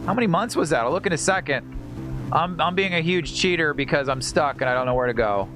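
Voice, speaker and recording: A man's voice: a little high, animated, fast. A man chatting casually as he hosts a game livestream, rambling and stammering with repeated words and slangy, salty language. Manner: informal, profane and slangy. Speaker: livestream host playing a video game. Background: game music.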